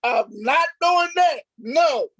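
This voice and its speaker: A man's voice: extremely high pitch, animated, extremely slow, a bit bright, no room sound, low-quality recording. A man, an actor, talking casually in a panel conversation, emphatic and repeating himself to drive points home.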